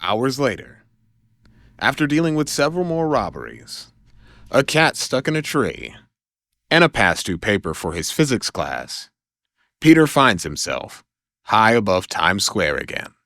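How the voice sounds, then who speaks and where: A man's voice: on the low side, wildly swooping pitch, measured pace, a bit bright, no room sound, studio quality. A man narrating scripted action in a dramatic audio drama style.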